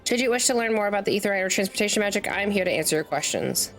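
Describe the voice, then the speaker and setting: A woman's voice: mid-range voice, animated, rapid-fire, fairly bright tone. A woman doing casual let's play commentary, chatty and playful, often breaking off mid-sentence and reading game text aloud. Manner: chatty, self-interrupting, playful asides while snacking; reads game dialogue aloud, stumbling over fantasy names. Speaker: streamer, gamer. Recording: game music behind the voice. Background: video game music.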